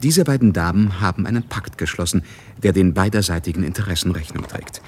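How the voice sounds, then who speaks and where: An actor playing a composed, knowing narrator in a radio drama, delivering literary narration in a measured, wry way. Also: male voice, sits low, animated, a little mellow, a little hiss or hum. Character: knowing, composed male narrator of a society novel.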